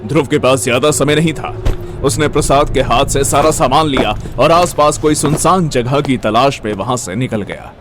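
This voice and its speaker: A man's voice: mid-range voice, normal speed. Male story narrator, faint background noise.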